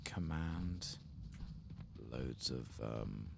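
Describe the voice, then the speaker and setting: A soft-spoken streamer giving murmured, half-whispered ASMR commentary on a game, close to the microphone. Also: rumbling low, animated, very slow, gravelly, very noisy. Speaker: gameplay streamer.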